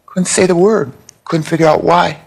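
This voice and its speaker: A woman giving personal testimony in an interview, halting and reflective as she speaks. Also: lowish voice, fairly even intonation, gravelly, a little mellow. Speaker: interviewee, abuse survivor.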